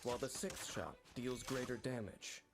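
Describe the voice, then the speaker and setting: A narrator reading a scripted game character showcase in a measured, storytelling explainer style. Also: medium pitch, over loud music, lightly acted.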